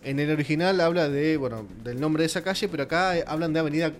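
A man's voice: a little high, fast talker, soft background music. A man with an Argentine Spanish accent, co-hosting a casual radio panel and explaining at length with many fillers. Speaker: radio show host.

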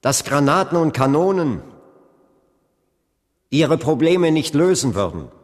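An earnest civil rights preacher, slow n husky, big swings in pitch, radio play. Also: male voice, very animated. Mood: impassioned, resolute. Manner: weighty, emphatic oratory with deliberate pauses. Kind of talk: German radio drama acting. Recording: reverberant hall sound.

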